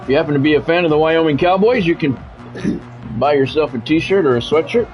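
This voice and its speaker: Male game streamer, fairly high voice, announcer-like clipped play calls mixed with easy viewer chat, music underneath. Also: a little fast, darkish tone, rough recording. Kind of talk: sports commentary mixed with casual livestream chat.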